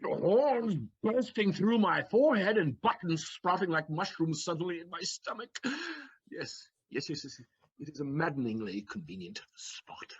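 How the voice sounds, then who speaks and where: An actor plays a quick-witted young servant with a husky, fairly high voice. He talks in a flowery, theatrical way. The sound is dull and lo-fi, like a stage recording. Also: animated. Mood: dismayed. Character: Figaro, witty excitable young manservant, male. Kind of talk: stage audio play acting.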